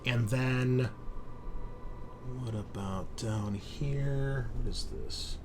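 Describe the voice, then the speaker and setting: A man with a medium-pitched voice acts as game master at a tabletop roleplaying session. He describes places and explains options in a conversational way. There is light noise in the room behind him. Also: extremely slow.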